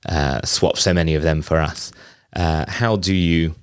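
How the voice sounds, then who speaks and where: Deep-voiced man hosting an interview. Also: steady tone, clear, resonant tone, no room sound, clean studio sound. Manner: halting, many fillers and restarts. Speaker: podcast host. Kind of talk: interview questions.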